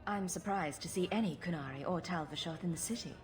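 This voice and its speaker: A woman's voice: lowish voice, fast talker, a crowd in the background, close to an everyday voice. Woman voicing a wry, sarcastic rogue heroine. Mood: curious, wry. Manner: dry, teasing. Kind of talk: video game character acting.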